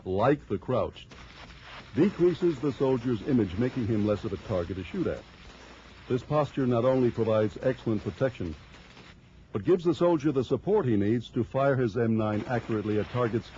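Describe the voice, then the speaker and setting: A man narrates an instructional film in a relaxed, mellow voice of medium pitch. His delivery is formal and measured, explaining step by step. The soundtrack is dull and lo-fi like an early 1990s film, with music behind him.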